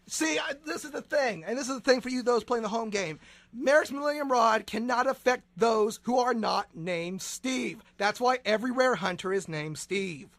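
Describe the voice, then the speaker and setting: A man's voice: very high voice, moderate pace, a bit bright, no echo. A man hosting a comedic radio interview, bombastic and mock-dramatic, often stammering and repeating his words. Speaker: radio show host.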